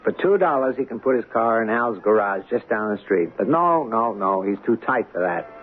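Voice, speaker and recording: A man's voice: fairly low pitch, lively intonation, normal speed, breathy edge. An actor playing a tough, honest city policeman in a radio drama, blunt and sardonic. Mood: relaxed, griping. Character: tough, honest, plain-spoken city beat cop. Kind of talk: old-time radio drama acting. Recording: tinny, noisy radio broadcast recording.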